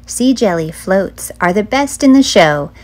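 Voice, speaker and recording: A middle-aged woman reads a children's book aloud as a storytime host, with a clear, measured storytelling delivery. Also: medium pitch, expressive, a little mellow. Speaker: children's author and librarian hosting a storytime.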